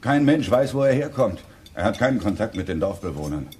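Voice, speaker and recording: Easygoing, folksy uncle from a village, lowish voice, for a kids' audio drama. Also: male voice, very animated, brisk, a little mellow, breathy edge, heavily acted. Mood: gossipy, relaxed. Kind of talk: children's radio play dialogue.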